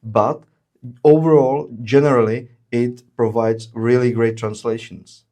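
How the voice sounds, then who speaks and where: A man's voice: medium pitch, steady tone, mellow. Male webinar presenter, methodical, filler-heavy. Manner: frequent "uh" fillers, measured explanatory delivery. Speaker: webinar presenter, solution engineer. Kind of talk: explaining product features in a webinar.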